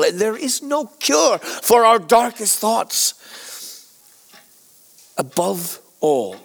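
An actor playing an anguished, guilt-ridden Victorian gentleman scientist in a radio drama, confessing haltingly and theatrically as he nears death. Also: high voice, gravelly, full character voice.

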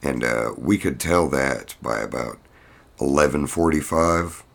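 A man's voice: a bass voice, measured pace, vocal fry, a bit bright. A man hosting a casual podcast, talking in a dry, rambling, profane way. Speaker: podcast host. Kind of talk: casual solo podcast chat, voicing absent co-hosts.

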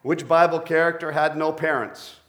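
A middle-aged man preaching a sermon, a pastor with a deep voice who jokes playfully with his congregation. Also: steady tone, moderate pace, fairly bright tone.

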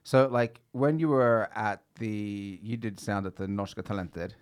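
A man's voice: on the low side, steady tone, a little slow, smooth. A man hosting a podcast, a comedian talking in fluent, articulate, reflective casual conversation.

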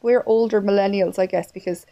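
A woman's voice: mid-range voice, fairly even intonation, a little fast, dark tone, muffled recording. A woman in her thirties with an Irish accent, hosting a candid, casual chat and swearing freely. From Ireland. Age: 30s. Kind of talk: casual conversation.

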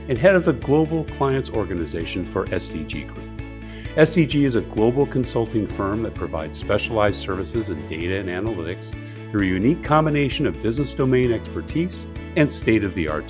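Man, podcast host, low voice, measured then chatty, faint music bed. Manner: slow and deliberate in the intro, chatty with "you know" and "uh" in the interview. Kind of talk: scripted podcast intro and casual interview.

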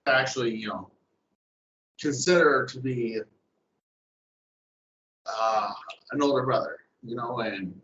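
A young man speaks earnestly in casual conversation, pausing and leaning on "you know". His voice sits in the middle range and bounces around a roomy space. The sound is dull and lo-fi. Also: medium pitch, fairly bright tone, a little hiss or hum. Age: young adult. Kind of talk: casual chat.

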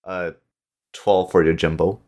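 A man with a low voice talks as a guest on a casual podcast. He tells things informally, like a story among friends, readily voicing the dialogue himself. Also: little pitch movement, unhurried, a little mellow. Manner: informal, acts out quoted dialogue.

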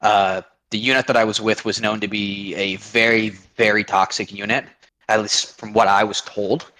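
A man's voice: lowish voice, level tone, moderate pace, pushed and bright, rough recording. A man in his twenties from Oregon, a guest on a podcast, telling his story in a rambling way with many restarts. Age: 20s. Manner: rambling, frequent restarts and fillers. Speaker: guest, army veteran. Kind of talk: casual podcast interview.

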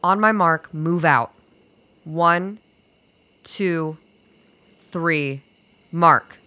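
A stern, unflappable female operative, played by an actress in a radio play. Her low, forceful voice moves very slowly and deliberately, giving orders with clipped command. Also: dry recording, heavily acted.

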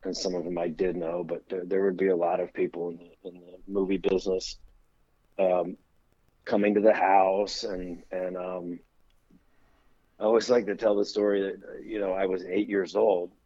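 A man's voice: deep voice, little pitch movement, moderate pace, dark tone, breathy edge, dry recording, lo-fi sound. A middle-aged man, a guest on an interview show, telling easygoing, rambling anecdotes about growing up. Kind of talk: casual storytelling interview.

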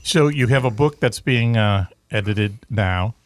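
A man's voice: on the low side, a little slow. A man with a Boston accent hosting a talk show, chatting in a rambling way with lots of fillers and restarts. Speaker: radio show host. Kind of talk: casual talk show chat.

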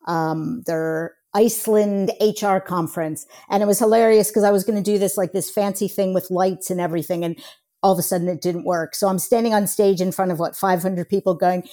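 Mellow-voiced American woman, chatty. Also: medium pitch, fairly even intonation, fairly quick. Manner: chatty, upbeat, many fillers. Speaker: guest, HR professional. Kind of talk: casual interview talk.

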